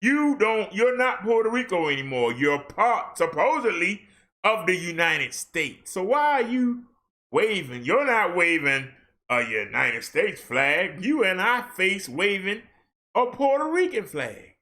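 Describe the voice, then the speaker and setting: A man rants on camera in a casual, colloquial way, pelting listeners with rhetorical questions. His voice sits high for a man and rings bright and forceful. Now and then he breaks into a shout. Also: very animated, a little slow. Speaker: vlogger. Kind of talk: ranting social commentary.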